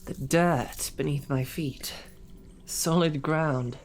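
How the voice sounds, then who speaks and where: A woman's voice: deep voice, slow pace, soft background music. A woman voicing a captive young woman knight who talks in a dry, formal, wryly understated way, in an audio roleplay drama. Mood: dry, sardonic. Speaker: voice actor.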